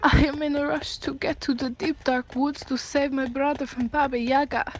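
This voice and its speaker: A woman's voice: sits high, fairly even intonation, moderate pace, lightly acted. A woman playing a determined young girl in a hurry in a children's radio play. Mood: urgent. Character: hurried, determined young girl rescuing her brother.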